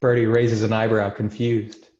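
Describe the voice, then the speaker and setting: A man's voice: on the low side, level tone, normal speed, a little mellow, reverberant, highs cut off. A man reading screenplay stage directions as scripted narration.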